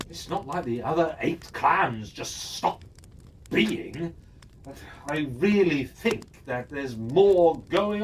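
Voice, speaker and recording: A man telling a fantasy story in character, chatty and musing, often asking himself questions aloud. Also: a little high. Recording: distant mic with faint background noise.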